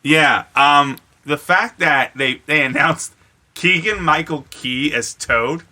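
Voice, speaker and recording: A man banters as a podcast co-host in loose, sweary conversation. His voice is intensely bright and pitched a little high. He speaks emphatically, often repeating a phrase to drive it home. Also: slow pace. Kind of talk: casual, profane group chat.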